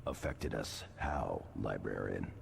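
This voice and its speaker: An actor playing a grave, hulking space marine with a gravelly voice in a video game. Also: male voice, a bass voice, lively intonation, takes it slowly, a little hiss or hum, full character voice. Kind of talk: dramatic video game acting.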